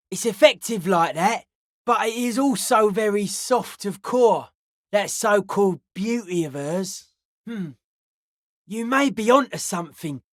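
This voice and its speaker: A man's voice: high-pitched, fairly bright tone, no echo, heavily acted. An intense young male raver and carpenter for a video game. He talks in blunt, clipped pronouncements, half street slang and half philosophy. The recording is close and dry. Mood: disdainful. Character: Noid, intense young male anodic-dance-music zealot and carpenter. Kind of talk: video game character voice acting.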